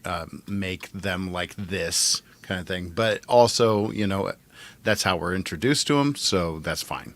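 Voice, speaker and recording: Fairly low-voiced man chatting casually. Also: a little slow, fairly bright tone. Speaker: podcast co-host. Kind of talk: casual chat.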